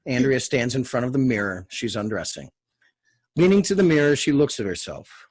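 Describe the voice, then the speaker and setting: A man narrates screenplay directions for a table read. He speaks in short, measured phrases. His voice sits at a medium pitch with a husky edge. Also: sing-song. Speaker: stage-direction reader.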